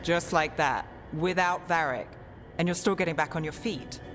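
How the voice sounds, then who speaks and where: An actress playing a skeptical, level-headed investigator companion in a video game, analytical and cautious. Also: female voice, low voice, normal speed, a bit bright. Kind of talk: video game cutscene acting. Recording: game dialogue over faint music.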